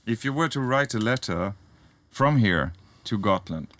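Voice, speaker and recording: Low-voiced man asking slow questions. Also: animated, a bit bright. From Sweden. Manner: slow, thoughtful questioning, encouraging. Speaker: interviewer. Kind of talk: casual interview.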